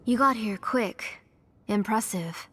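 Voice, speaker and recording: A woman playing a grieving, obsessed mother in a dramatic video game, speaking in a measured, brooding way. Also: fairly high pitch, fairly bright tone, breathy edge, full character voice. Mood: resolute, fixated. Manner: measured, brooding, tenderly fixated. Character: grieving, obsessed mother, retired name forger. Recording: faint music behind the voice.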